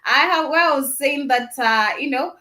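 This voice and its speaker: A young woman with a Kenyan Luo accent hosts a live talk radio show in a chatty, easygoing way, reading out listener messages and laughing easily. Her voice sits a little higher than most women's. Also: moderate pace, sharp tone.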